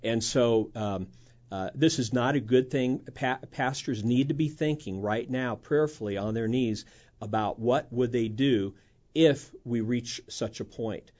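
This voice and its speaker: A man speaking as a guest minister in a measured way, with hesitant fillers. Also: mid-range voice, darkish tone, dull audio. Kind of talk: conversational discussion.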